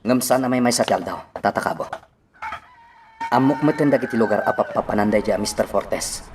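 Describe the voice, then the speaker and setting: Actor as determined fighting man, lowish voice, radio drama, faint noise. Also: fairly quick, lightly acted. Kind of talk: Ilocano radio drama acting.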